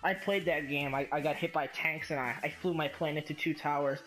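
A man's voice: a little high, steady tone, fairly quick, fairly bright tone. A young man making a prank phone call, taunting and swearing in a crude way. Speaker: prank caller. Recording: music laid over the voice.

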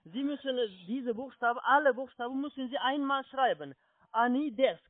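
A man's voice: extremely high pitch, normal speed, slight background noise. A man with a foreign accent, a non-native speaker, insistently repeating spelling instructions as a call centre agent. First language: not German. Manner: insistent, repetitive. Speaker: call centre phone scammer. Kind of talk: phone instructions, spelling out letters. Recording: tinny phone line.

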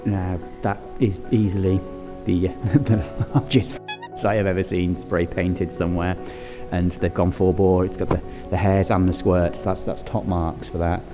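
Brief: male explorer-vlogger, thirties, deep, dry humour, music bed. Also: steady tone, a little slow. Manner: wry, chatty, marvels at decay. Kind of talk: on-location exploring commentary and voice-over narration. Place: outdoors and in derelict sheds.

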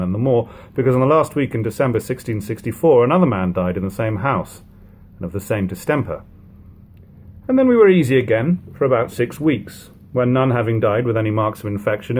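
A man in his thirties giving a measured, even reading of old prose at home on a webcam. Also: medium pitch. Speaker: reader of a classic book. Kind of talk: unhurried audiobook reading. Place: living room at home.

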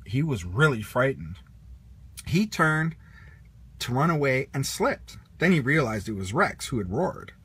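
Animated husky man reading a storybook. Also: medium pitch, a little slow. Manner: animated, puts on character lines. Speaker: storybook reader. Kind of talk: read-aloud children's story narration.

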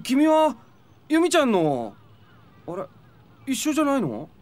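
A voice actor playing a lively, teasing high school boy in an anime comedy, animated and wry. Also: a little slow, heavily acted. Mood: casual.

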